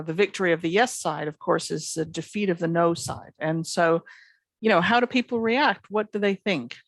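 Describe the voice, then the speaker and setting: Canadian woman, lowish measured academic voice. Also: no room sound. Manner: thoughtful, fluent academic explaining with many examples. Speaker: guest professor of psychology. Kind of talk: interview answers.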